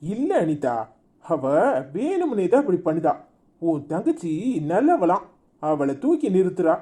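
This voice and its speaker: A woman playing a gruff, grumbling husband. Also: fairly low pitch, big pitch swings, a little slow, warm tone. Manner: gruff, scolding. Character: grumpy middle-aged husband. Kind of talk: comic family drama skit.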